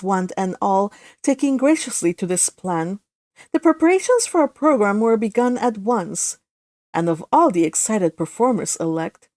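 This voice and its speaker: A woman's voice: medium pitch, darkish tone, no echo, clean studio sound. A woman storyteller narrating a novel aloud in a read-along style.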